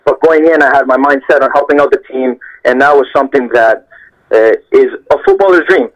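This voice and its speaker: A man's voice: medium pitch, a little fast. Earnest young man on a muffled phone line. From Canada. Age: young adult. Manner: earnest, repeats phrases for emphasis. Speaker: interview guest, professional footballer. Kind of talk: phone interview, reflective storytelling.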